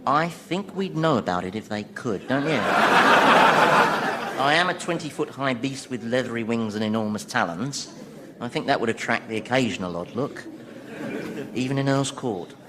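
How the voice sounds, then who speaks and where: Radio comedy: male actor as towering winged devil, dry, sarcastic, casually cruel, animated intonation, noisy track. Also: mid-range voice, moderate pace, close to an everyday voice. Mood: wry, casual. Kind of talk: radio comedy drama acting.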